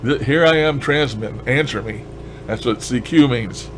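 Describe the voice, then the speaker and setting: A man's voice: mid-range voice, normal speed, rough recording. Folksy man rambling over background noise. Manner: folksy, colloquial, enthusiastic. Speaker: ham radio hobbyist vlogger. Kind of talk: casual rambling demonstration of a radio gadget.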